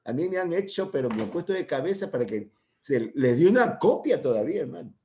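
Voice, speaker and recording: Older man lecturing, mellow and rambling. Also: a little high, very animated, fairly quick, a little hiss or hum. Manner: rambling, hesitant, full of "¿ya?" and "¿no?" tags. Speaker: university medical lecturer. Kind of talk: informal lecture to students.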